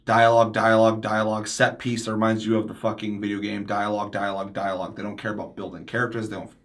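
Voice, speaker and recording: A man's voice: lowish voice, flat delivery, quick pace, a bit bright. A man hosting a casual panel discussion, ranting emphatically with heavy swearing and slipping into mimicked quotes of other people. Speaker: podcast host.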